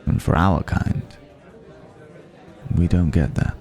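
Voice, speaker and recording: Man playing a very deep, unhurried refined vampire. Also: creaky, mellow, soft background music, heavily acted. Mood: wistful, mournful. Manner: measured, reflective, with lingering pauses. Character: refined, wistful centuries-old male vampire, urbane and predatory. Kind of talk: intimate ASMR roleplay monologue.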